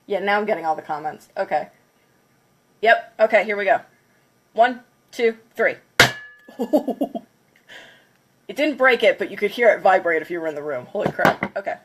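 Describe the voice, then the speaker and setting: A woman's voice: mid-range voice, expressive, normal speed, pauses often, background noise. A congested woman chatting casually on a livestream, counting down before smashing plates. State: congested from a cold. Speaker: craft livestreamer.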